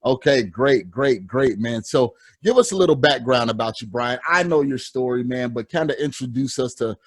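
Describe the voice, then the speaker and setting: A man's voice: medium pitch, lively intonation, moderate pace, no echo, studio quality. A man hosting a podcast, enthusiastic and repeating words as he welcomes his guest. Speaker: podcast host.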